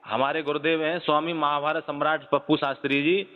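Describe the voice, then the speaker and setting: A man's voice: on the high side, level tone, a little fast. Persistent male caller on a muffled, echoing line. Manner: persistent, reproachful yet respectfully addressed. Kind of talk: phone argument. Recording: muffled phone call recording with reverb.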